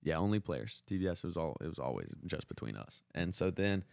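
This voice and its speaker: A young man with a low voice, recorded close and dry. He talks casually as a podcast co-host, retelling sports stories to friends in slangy, stop-and-start phrases. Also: very animated, creaky, a little mellow, no echo. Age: young adult. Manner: informal, slangy, halting retelling.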